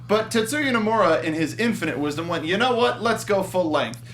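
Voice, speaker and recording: A man's voice: sits high, animated, a little fast, a bit bright, a little hiss or hum. A man with an American accent hosting a movie review show, switching between upbeat announcing and casual panel chat.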